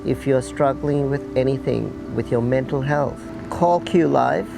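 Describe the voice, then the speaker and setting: Measured middle-aged man, gravelly voice. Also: mid-range voice, over music. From Sri Lanka. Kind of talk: documentary interview testimony.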